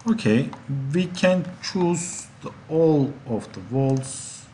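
Guy teaching software step by step, slow n halting, some background noise and keyboard clicks. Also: a little high, animated. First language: Turkish. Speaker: instructor. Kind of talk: software tutorial narration.

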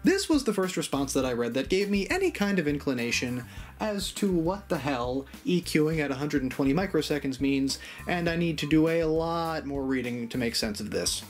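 A man in his thirties hosting an explainer video in a playful, animated way with casual asides. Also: a little high, moderate pace, faint music behind. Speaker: video host. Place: small home room with hi-fi gear, indoors.

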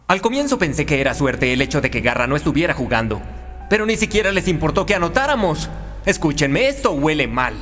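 A man's voice: on the high side, big pitch swings, brisk, a bit bright. A man voicing an earnest, determined young football player in a cartoon. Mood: wary. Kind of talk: animated cartoon dialogue. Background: faint music.

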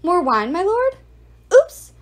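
A clever young woman disguised as a servant speaks with sugary, mock-servile politeness, as a woman reader voices her. Her voice sits high and bounces in pitch. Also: very animated, brisk, darkish tone.

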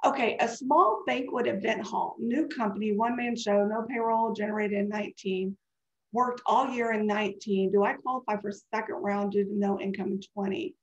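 A woman's voice: medium pitch, steady tone, normal speed. A woman in her forties giving a live webinar over a video call. She talks in a chatty, digressive way, and her voice sounds congested and scratchy. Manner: chatty and digressive, answering questions. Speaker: webinar presenter. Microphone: webcam video call. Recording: dull echoey video-call audio.

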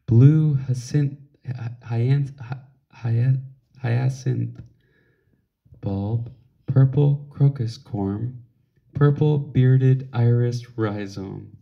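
A man's voice: medium pitch, drawn-out words, creaky. A man speaking as a parent to a small child in a casual picture-book read-along, with simple, encouraging talk. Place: indoors at home, over a book on a table. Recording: dull, lo-fi home recording.

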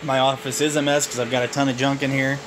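A man giving a casual, unscripted walkthrough of his home office and phones, relaxed and halting, with plenty of fillers. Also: mid-range voice, background noise. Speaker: vlogger showing his phone farm. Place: cluttered home office full of phones and computers. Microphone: handheld camera mic, filming while walking around.

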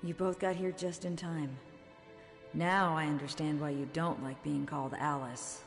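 Earnest young heroine, reverberant. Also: female voice, low-pitched, flat delivery, moderate pace. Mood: warm relief. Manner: earnest, sincere. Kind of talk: video game cutscene acting. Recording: long reverb, faint music behind.

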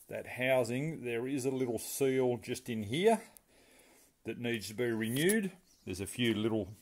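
A middle-aged man, explaining a brake repair step by step in a casual, practical way, with plenty of fillers. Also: mid-range voice. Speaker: home mechanic restoring a car. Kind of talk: casual how-to narration. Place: home garage workshop.

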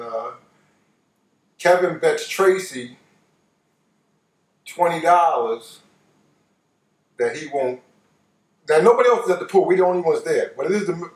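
Higher-voiced New York man, roomy sound. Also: normal speed, long pauses. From New York, United States. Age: late 50s. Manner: animated, repeats phrases for emphasis. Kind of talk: casual personal storytelling. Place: living room, seated on a couch. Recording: roomy, voice distant from microphone.

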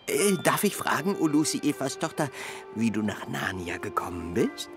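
An actor playing a timid, courteous, excitable male faun in a storybook audio drama, stammering and politely flustered. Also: mid-range voice, normal speed, music in the background, natural voice. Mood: courteous, delighted.

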